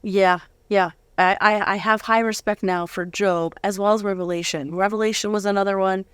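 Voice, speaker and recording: A woman's voice: medium pitch, steady tone, normal speed, fairly bright tone, clean tone, no echo. A woman interviewing a guest in a casual, chatty and affirming way. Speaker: interviewer, host.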